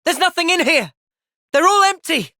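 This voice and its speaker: A man with a British accent playing a thoughtful, gentle young soldier in video game cutscenes, measured and earnest. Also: very high voice, expressive, dry recording, clean studio sound, exaggerated voice. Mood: urgent, shouting.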